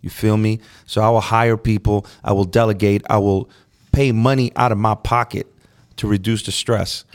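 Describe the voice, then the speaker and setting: A man co-hosting a podcast, giving relaxed advice in casual chat. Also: fairly low pitch, moderate pace. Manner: laid-back, advice-giving, "you feel me". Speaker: podcast co-host.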